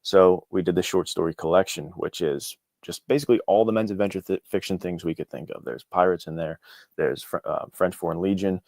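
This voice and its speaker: A man with a low voice speaks as a guest in a relaxed interview. His talk is informal and keen, and the sound is dry and close. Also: fairly quick, gravelly, dry recording. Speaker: guest, indie author. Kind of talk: casual interview chat.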